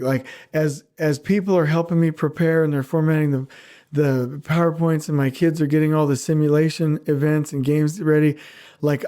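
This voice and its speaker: Male solo podcast host, giddy rambling delivery, warm mellow tone, slightly high voice, small shed studio. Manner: giddy, rambling, laughs often. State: voice just recovered. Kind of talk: casual solo podcast talk. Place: small backyard recording shed.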